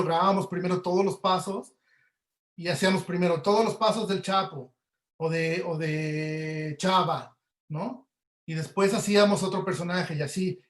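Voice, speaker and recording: High-voiced Mexican man in an echoey room. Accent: Mexican Spanish. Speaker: guest audio producer. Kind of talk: casual explanatory interview answers. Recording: roomy, echoey webinar audio.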